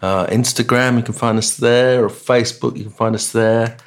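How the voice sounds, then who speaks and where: A man's voice: mid-range voice, fairly even intonation, moderate pace. A middle-aged man co-hosting a casual music podcast, joking and laughing, trailing off with fillers. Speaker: podcast co-host. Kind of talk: casual chat.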